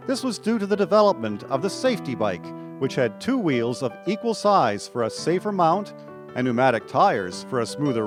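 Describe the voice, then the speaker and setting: Animated male radio drama narrator over guitar. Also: mid-range voice. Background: acoustic guitar music.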